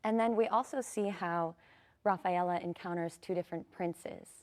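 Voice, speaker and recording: A woman's voice: lowish voice, normal speed. A woman guest on a TV morning show, speaking earnestly with fillers about a ballet. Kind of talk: TV interview.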